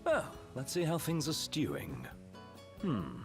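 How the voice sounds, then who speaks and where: A man's voice: fairly high pitch, wildly swooping pitch, takes it slowly, music in the background, heavily acted. A man voicing a refined, wry young royal retainer in a video game, articulate and courtly with a British accent. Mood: gently wry. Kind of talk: video game cutscene voice acting.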